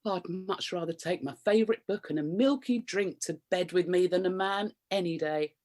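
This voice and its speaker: Woman acting a prim married British woman, lowish pitch, repressed yet curious, flustered coy delivery, radio play farce. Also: moderate pace, darkish tone, lightly acted. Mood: disdainful. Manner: coy, flustered, innuendo-laden delivery with nervous chuckles. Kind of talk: radio play comedy acting, confessional monologue and banter.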